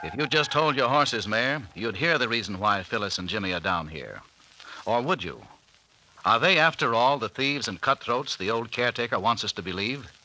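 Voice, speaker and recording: Animated, sharp-voiced serial announcer. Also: male voice, medium pitch, moderate pace, low-quality recording. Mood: teasing, intriguing. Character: wry, teasing radio serial announcer. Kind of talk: old-time radio scripted narration.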